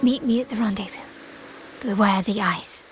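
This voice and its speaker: A woman voicing a poised young noblewoman of a crime family in a video game, coolly loyal, with formal, measured speech. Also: mid-range voice, close to an everyday voice. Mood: poised, teasing. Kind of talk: scripted video game voice acting. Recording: background music under the voice.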